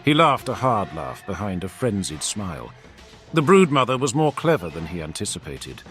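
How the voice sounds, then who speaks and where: Animated male dramatic story narrator. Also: fairly low pitch, normal speed, faint music behind. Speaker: audiobook-style lore narrator. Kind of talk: dramatic scripted fiction narration.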